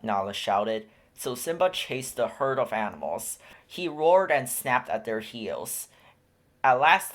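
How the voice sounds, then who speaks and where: A man narrating a children's picture book aloud in a steady, clear storytelling manner. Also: mid-range voice. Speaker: storybook reader.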